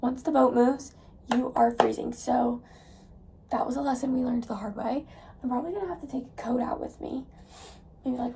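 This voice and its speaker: A young woman chatting casually in a vlog, rambling with lots of fillers, her voice hoarse because she is losing it. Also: on the high side, dark tone, a little hiss or hum. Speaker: vlogger.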